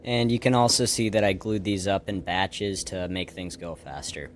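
A man's voice: low-pitched, steady tone, normal speed, slight background noise. A man narrating a scripted woodworking how-to in a plain, matter-of-fact, step-by-step way. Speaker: woodworker, video host.

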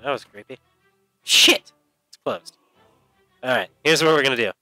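A man's voice: mid-range voice, animated, normal speed, bright, forceful tone, faint music behind. A man giving casual, sweary gameplay commentary, mostly talking to himself as host. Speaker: let's play host.

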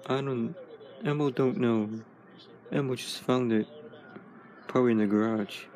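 A man's voice: medium pitch, steady tone, measured pace, a little mellow, slight background noise, natural voice. A man voicing a small red furry monster child who talks about himself in third person, keeping close to his own dull voice. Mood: childlike.

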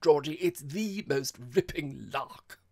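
A man voicing a boisterous, slangy young woman who teases loudly in an audiobook comic novel. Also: fairly high pitch, fast, pitched above the performer's own voice. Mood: gleeful, mocking. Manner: loud exclaiming, slangy.

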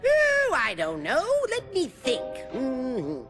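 Pompous little villain, animated game: nasal, theatrical, drawn-out delivery with a gravelly creak over music. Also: male voice, extremely high pitch, exaggerated voice. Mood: outraged.

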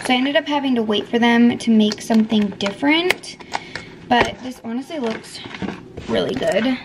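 A woman's voice: fairly high pitch, normal speed. A woman in her twenties with a slightly scratchy voice, chatting casually to her viewers in a home vlog. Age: 20s. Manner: informal, frequent "like" as filler. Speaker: lifestyle vlogger. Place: indoors in a home room.

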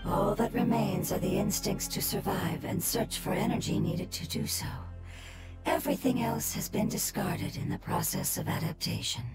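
A solemn, gentle water spirit from a video game. The voice is breathy and measured, with gravelly creak and a very wide pitch range. Loud music plays under it. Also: female voice, wildly swooping pitch. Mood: sorrowful. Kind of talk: scripted video game dialogue.

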